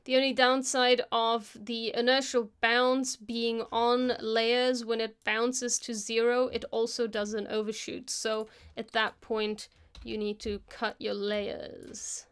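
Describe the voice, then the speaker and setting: A woman hosting a live stream, casually talking through a software tutorial as she works, thinking aloud into a close microphone. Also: on the high side, little pitch movement, slow, clear, resonant tone. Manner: casual, chatty. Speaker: host of an animation live stream.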